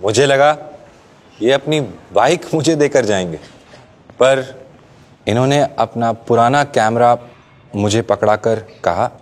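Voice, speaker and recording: An actor playing a young groom, speaking a slow, heartfelt and gently teasing wedding tribute in a scripted ad monologue. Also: male voice, mid-range voice, natural voice. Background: faint background music.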